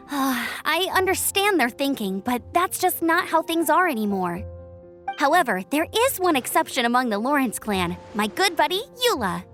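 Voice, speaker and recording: A woman voicing a cheerful young female knight scout who talks in a friendly, lively, chatty way in a game cutscene. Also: high-pitched, normal speed. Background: game music.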